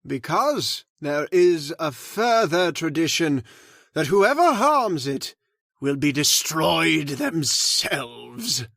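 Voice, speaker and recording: An actor playing a credulous old man steeped in occult lore, speaking slowly and solemnly in old-time radio drama style. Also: a little high, big pitch swings, vocal fry, a bit bright, no echo, studio quality, heavily acted. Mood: grave. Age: older. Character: credulous old male scholar of the occult, grave.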